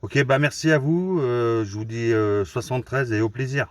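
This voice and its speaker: A man's voice: medium pitch, moderate pace, heavy background noise. A man from Normandy chatting casually and politely as an amateur radio operator, often repeating his phrases. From Normandy, France. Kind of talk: casual radio contact chat. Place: mobile radio station.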